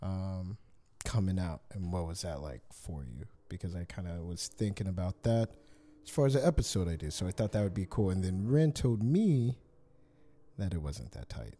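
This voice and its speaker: A man hosts a laid-back interview in a low, warm voice. He jokes loosely and tosses in "man" and "that's cool" between questions. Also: lively intonation, moderate pace. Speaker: podcast host. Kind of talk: casual interview chat.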